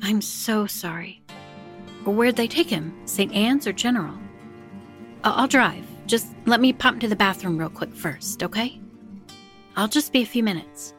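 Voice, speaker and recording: A man's voice: sits high, animated, unhurried, a bit bright, faint music behind, pitched above the performer's own voice. A man voicing a worried, caring young woman in an audiobook story.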